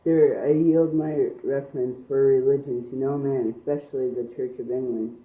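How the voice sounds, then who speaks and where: A man's voice: mid-range voice, steady tone, normal speed, dark tone, lightly acted. A young teenage boy playing a blustering, formal British factory owner in an amateur school radio play. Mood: indignant, pleading. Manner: formal, declamatory. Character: blustering pompous British factory owner fretting over stockholders. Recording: muffled, echoey amateur room recording.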